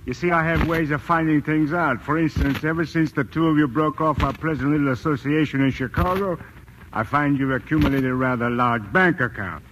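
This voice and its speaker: An actor playing a mock-polite, calmly threatening crime boss in a 1940s-style radio drama. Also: male voice, a little high, a little fast, natural voice, poor audio. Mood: mock-genial. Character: smooth, menacing male crime syndicate boss. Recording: old radio recording, faint noise.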